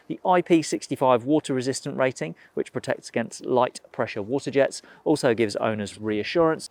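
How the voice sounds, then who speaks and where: A man reads through a scripted gear review at a quick clip, practical and matter-of-fact. His voice sits at a middling pitch. The recording is dry and close, with no room sound. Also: a little mellow, dry recording. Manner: brisk, informative, practical. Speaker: product reviewer for a motorcycle gear shop.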